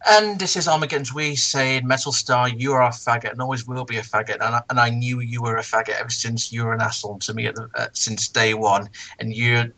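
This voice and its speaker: Mid-register casual commentator, halting and repetitive, with a mocking edge. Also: medium pitch, pushed and bright, rough recording. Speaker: online video commentator. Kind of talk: casual group critique chat.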